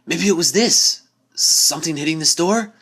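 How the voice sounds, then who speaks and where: A man voicing a brash, excitable young female pegasus pony in a story reading. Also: on the high side, normal speed, slightly rough, pitched above the performer's own voice. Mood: urgent.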